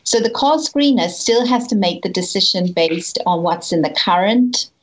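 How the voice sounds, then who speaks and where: A woman explaining a tool clearly in an interview. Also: mid-range voice, normal speed, poor audio.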